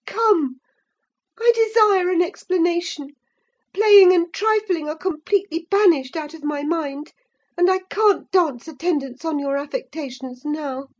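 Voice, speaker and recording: A woman voicing a proud, quick-tempered young gentlewoman who scolds sharply. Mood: indignant. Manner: indignant, scolding, high-pitched.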